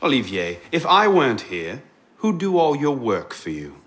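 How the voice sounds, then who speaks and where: An actor playing a cocky young rogue in a video game, flippant and sardonic. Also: male voice, medium pitch, huge pitch swings, moderate pace, fairly bright tone. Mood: wry. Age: young adult. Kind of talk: video game cutscene acting.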